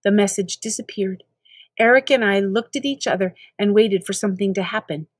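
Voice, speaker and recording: A woman's voice: mid-range voice, brisk, no room sound. An older woman with an American accent reading a children's novel aloud expressively. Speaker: storybook reader.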